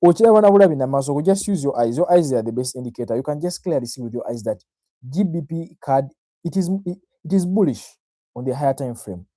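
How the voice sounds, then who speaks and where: Ugandan man, Luganda native, very mellow dark tone, mid pitch, dry sound; confident, informal trading-stream explainer who repeats himself. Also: animated, fast, dry recording. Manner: self-assured, addresses viewers directly, repeats phrases, checks in with "right?". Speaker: trader, livestream host. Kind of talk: informal live market analysis, explaining to viewers.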